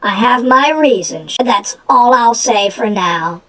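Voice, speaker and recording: A man's voice: extremely high pitch, lively intonation, slow pace, sharp tone, voice pushed up, heavily acted, rough recording. A man voicing a teasing, attention-seeking female ogress in a storybook reading. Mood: coy.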